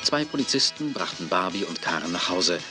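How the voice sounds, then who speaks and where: A man's voice: sits low, big pitch swings, brisk, over loud music. A man playing the narrator of a children's radio play, reading scripted storytelling. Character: male storyteller of a children's tale.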